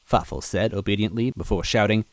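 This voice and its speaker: A man reading a story aloud as narrator, expressive and adding little asides to the listener. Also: fairly low pitch, a little fast, no echo. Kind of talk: reading fan fiction aloud.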